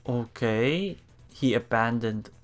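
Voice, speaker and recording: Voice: medium pitch, slow, faint music behind. A let's play streamer reading game text aloud with casual reactions, often stumbling over words and repeating them.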